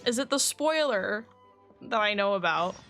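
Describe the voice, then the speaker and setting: A woman livestreaming a video game, commenting casually in a giggly, exclamatory and expressive way. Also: medium pitch, measured pace, faint music behind. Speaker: game streamer. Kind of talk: livestream game commentary, reading dialogue aloud.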